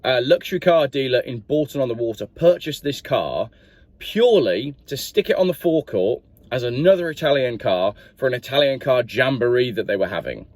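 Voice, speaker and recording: A man's voice: mid-range voice, animated, fairly quick, darkish tone, dry recording. A man talking through a car's history and receipts in a casual, chatty review vlog, often asking the viewer questions. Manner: chatty, rambling, asks viewers directly. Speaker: car reviewer.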